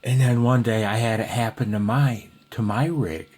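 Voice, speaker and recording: A man's voice: medium pitch, steady tone, normal speed, darkish tone, slightly rough, faint music behind. A man giving an informal, rambling tutorial as a hobbyist instructor, folksy and apologetic as he thinks aloud. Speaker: amateur radio hobbyist teaching Morse code.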